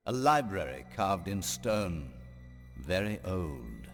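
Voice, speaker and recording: A grave older lord of knights in a game cutscene. The voice is low and rises and falls widely, with learned authority, over loud music. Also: male voice, sing-song, slow, gravelly, heavily acted. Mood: grave, intrigued. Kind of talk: historical strategy game cutscene acting.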